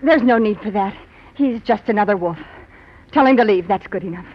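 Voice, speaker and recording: An actress playing a wary, cornered and defiant nightclub singer in 1940s radio acting style. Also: female voice, mid-range voice, fairly quick, slight background noise, natural voice. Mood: nervous cover-up. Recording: muffled old radio recording, faint music.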